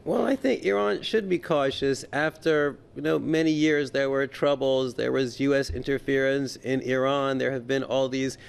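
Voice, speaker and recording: A man's voice: mid-range voice, fairly even intonation, moderate pace, creaky, few pauses, clear, resonant tone. A man giving unscripted political commentary as an interview guest, stammering and often repeating his words as he goes.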